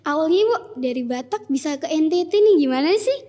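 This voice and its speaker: High-voiced woman host, chatty, talks to the viewers a lot, echoey reverb. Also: expressive, dark tone. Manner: chatty, addresses viewers, quick reactions. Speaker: interview host. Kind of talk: lively interview hosting.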